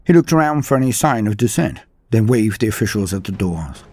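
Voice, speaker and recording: A man giving dramatic scripted narration of a war story in a measured, grave manner. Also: on the low side, animated, a little mellow, soft background music.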